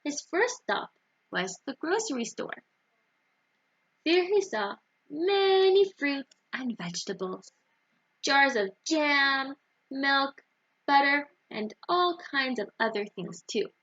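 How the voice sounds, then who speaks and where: A woman storyteller reading a children's storybook aloud in a deliberate, expressive way for young listeners. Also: high-pitched, dull audio.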